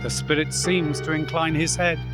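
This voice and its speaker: A man performing as the narrator of a radio play, solemn and measured in his dramatic storytelling. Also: a little high, level tone, over music, natural voice.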